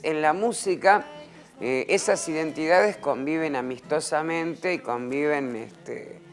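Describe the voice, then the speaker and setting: A man from Argentina, who explains a play thoughtfully and often pauses with "eh". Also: fairly high pitch. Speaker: creator of the play, interviewed. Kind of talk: explanatory interview talk.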